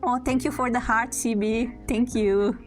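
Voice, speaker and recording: A woman's voice: fairly high pitch, steady tone, unhurried, faint music behind. A young woman from Italy with an Italian accent, introducing herself on a live stream in a casual, apologetic way, laughing often. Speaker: VTuber streamer.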